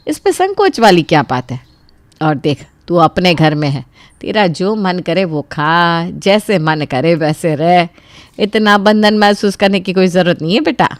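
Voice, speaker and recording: A woman's voice: on the low side, dry recording. Woman playing an old, indulgent mother-in-law, easygoing and kind, storybook style, dry sound.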